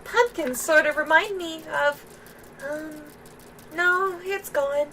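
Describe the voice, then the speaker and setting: A woman's voice: extremely high pitch, slow, sharp tone. A woman livestreaming a game and talking through it casually.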